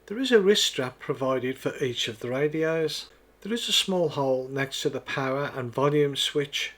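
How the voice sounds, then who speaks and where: A man's voice: medium pitch, lively intonation, normal speed, fairly bright tone. A man narrating a scripted product demonstration in a methodical, step-by-step explaining manner. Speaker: product reviewer.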